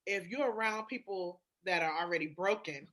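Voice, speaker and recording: A woman's voice: on the low side, normal speed, a bit bright. A woman co-hosting a podcast in candid, casual chat, speaking emphatically and repeating phrases to drive a point home. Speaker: podcast co-host.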